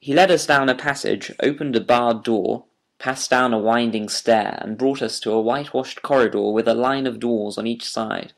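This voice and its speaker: Medium-pitched man narrating an audiobook. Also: normal speed, creaky.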